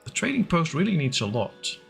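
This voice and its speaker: A man's voice: fairly high pitch, huge pitch swings, fairly quick, vocal fry, soft background music. A man who is not a native English speaker, streaming a game and chatting casually with viewers, full of fillers. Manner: chatty, answering chat with frequent fillers. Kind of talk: casual live-stream chat while playing.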